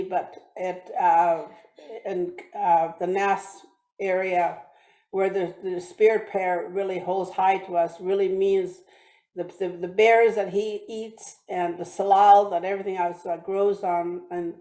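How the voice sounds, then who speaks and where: Woman from British Columbia, guest speaker, mellow tone, many restarts, echoey room. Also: fairly low pitch. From British Columbia, Canada. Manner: halting, many restarts and fillers. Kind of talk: talk with slides. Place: church hall or online meeting.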